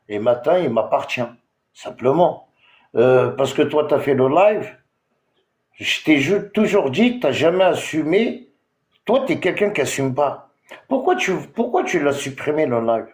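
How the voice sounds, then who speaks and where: A man's voice: medium pitch, a little mellow, reverberant. A man from Algeria, hosting a TikTok live and ranting at someone with many hesitations. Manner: confrontational, repetitive, full of hesitations. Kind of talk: heated live-stream rant.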